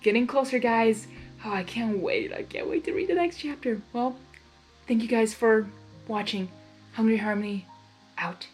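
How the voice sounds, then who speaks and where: Woman reading a story out loud, chatty and gushy with her own reactions, music playing behind her. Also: fairly high pitch, moderate pace. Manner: informal, excitable, gushing in asides. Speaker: host reading fan fiction aloud. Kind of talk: storybook narration with casual reaction asides.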